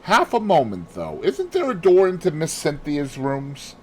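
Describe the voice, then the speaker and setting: A man voicing a brisk, decisive gentleman from a mystery novel, with an urgent, commanding manner. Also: on the high side, wildly swooping pitch, heavily acted.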